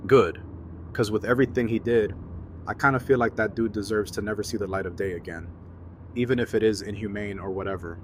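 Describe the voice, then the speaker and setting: A man's voice: fairly low pitch, steady tone, fairly quick, slight background noise, lightly acted. A man acting an easygoing, friendly buddy with casual, slangy talk in an audio drama. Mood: casual, candid. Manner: casual, slangy, laid-back.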